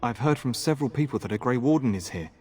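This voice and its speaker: An actor playing an earnest, eager and courteous young knight in a fantasy video game. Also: male voice, medium pitch, expressive, quick pace, a little mellow, husky. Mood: polite, wry. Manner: polite, boyishly earnest.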